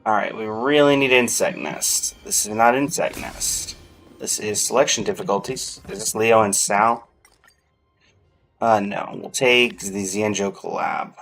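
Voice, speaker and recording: A man's voice: fairly low pitch, a little slow, creaky, fairly bright tone, soft background music. A man doing casual, chatty livestream commentary on a video game, speaking directly to his viewers. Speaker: live streamer.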